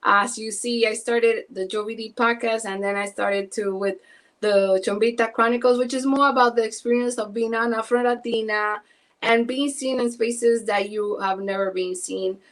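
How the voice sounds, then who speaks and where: A woman's voice: a little high, steady tone, moderate pace. Earnest Panamanian woman podcaster. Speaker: podcaster guest. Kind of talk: casual self-introduction.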